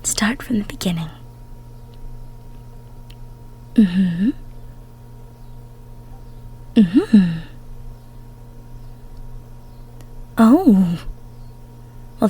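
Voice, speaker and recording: A woman playing a tender, emotional goddess of love in an intimate roleplay audio, cooing soothingly in a breathy, near-whispered voice. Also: mid-range voice, heavily acted. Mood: gentle, concerned. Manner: soothing, cooing, coddling with pet names.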